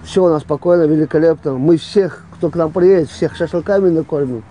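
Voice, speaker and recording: Very mellow man. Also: on the high side, brisk, slight background noise, low-quality recording. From Chechnya. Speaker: interviewee. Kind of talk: casual interview answers.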